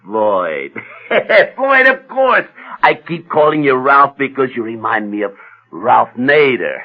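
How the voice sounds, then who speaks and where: An actor playing a glib, pushy senator in a radio drama, smooth-talking, insistent and blustering. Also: male voice, medium pitch, big pitch swings, slow pace, lo-fi sound, full character voice. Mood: glad-handing. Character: glib, pushy male senator and party fixer.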